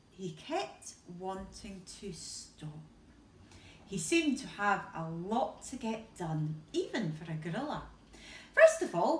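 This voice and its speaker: A woman's voice: medium pitch, slight background noise. A woman reading a storybook aloud to young children, expressively, with questions and asides to her listeners. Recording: roomy echo, distant from the mic.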